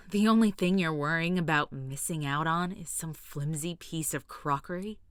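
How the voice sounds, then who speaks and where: A woman's voice: deep voice, smooth, dry recording, clean studio sound, lightly acted. A woman playing a tough, bitter feline soldier in a fantasy audio drama, blunt and sardonic. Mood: mocking. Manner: sardonic, blunt, slow drawl. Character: tough, bitter female feline war beast. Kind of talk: scripted audio drama acting.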